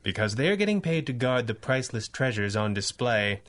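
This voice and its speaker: An actor playing a cool, confident masked superhero with a calm, dry, assured manner, in a 1930s-style radio drama. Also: male voice, mid-range voice, a little fast.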